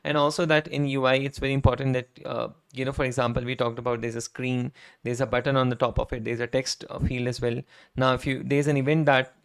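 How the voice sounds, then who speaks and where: Hoarse, gravelly male live streamer. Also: medium pitch, little pitch movement, fast. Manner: conversational, frequent "right?" tag questions, throat clearing. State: hoarse, voice almost gone. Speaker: streamer and software engineer. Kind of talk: casual live-stream coding talk. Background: keyboard typing and clicks.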